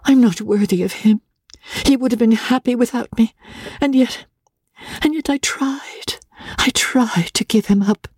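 Narrator playing a timid young bride, high-pitched. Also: unhurried, pauses often, breathy edge, dry recording, studio quality. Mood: remorseful. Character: Emmy, timid, meek, self-doubting young bride.

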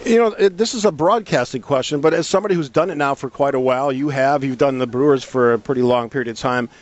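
A man's voice: mid-range voice, fast, highs cut off. A man hosting a sports radio interview, setting up questions for his guest in a conversational way.

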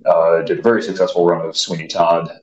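Male interview guest, deep radio tone, measured and formal, recorded in an echoey room. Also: flat delivery, a little mellow, slight background noise. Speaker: voice and stage actor, interview guest. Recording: roomy echo, dull muffled sound.

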